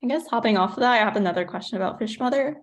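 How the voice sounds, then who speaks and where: A woman's voice: on the high side, racing. A woman asking questions casually and politely, in a tentative way. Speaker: audience questioner.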